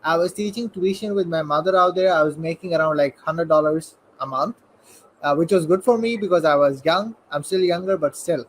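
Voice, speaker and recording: A young man in his early twenties, from Saudi Arabia, speaks in a high voice as a guest on an online show. He rambles with eager energy, leaning on "like" and "you know", and a faint hum of room ambience sits behind him. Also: brisk, rough recording. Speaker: guest entrepreneur. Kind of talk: casual interview talk.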